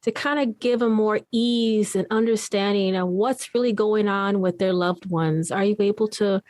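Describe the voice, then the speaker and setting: A woman's voice: mid-range voice, moderate pace, darkish tone, dry recording, clean studio sound. A woman hosting a podcast, effusive and a bit rambling as she asks questions. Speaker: podcast host. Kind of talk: casual podcast interview.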